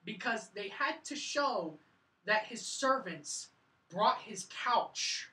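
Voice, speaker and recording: A woman co-hosting a film review podcast, casually recounting scenes in an animated way, peppering sentences with "like". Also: medium pitch, slow. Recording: roomy, a bit far from mic.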